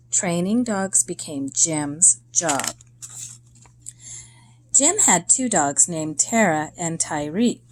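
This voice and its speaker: Slow-paced woman reading a children's story aloud. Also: lowish voice, slight background noise. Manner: deliberate, expressive storytelling with short phrases and pauses.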